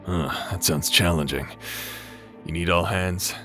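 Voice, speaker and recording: A man's voice: low voice, fairly even intonation, loud music behind the voice. A man playing a remorseful former space pirate captain and awkward brother in a dramatic sci-fi audio roleplay. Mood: weary, casual. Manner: halting, sighing, hesitant delivery.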